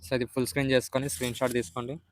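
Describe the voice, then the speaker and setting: A man's voice: mid-range voice, flat delivery, racing, a little hiss or hum. A man with a Telugu Indian accent giving a step-by-step app tutorial voice-over as the host. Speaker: video editing tutorial host.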